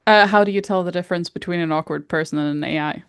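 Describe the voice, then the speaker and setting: A woman co-hosting a casual podcast, speaking a little lower than most women, recorded dry and close. She presses her co-host with repeated questions and hesitates as she thinks aloud. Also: fast, smooth, no room sound. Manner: probing, keeps pressing questions. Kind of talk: casual chat.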